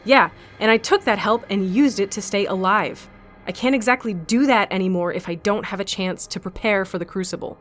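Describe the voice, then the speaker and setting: A woman's voice: medium pitch, brisk, soft background music, natural voice. A woman playing a bitter, self-preserving captive fighter in an audio drama, blunt, demanding and sardonic. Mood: angry, bitter.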